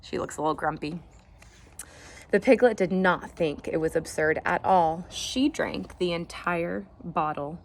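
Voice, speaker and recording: A woman's voice: fairly low pitch, unhurried, slight background noise. A woman reading a picture book aloud as a teacher would, expressively, with casual asides to the listeners.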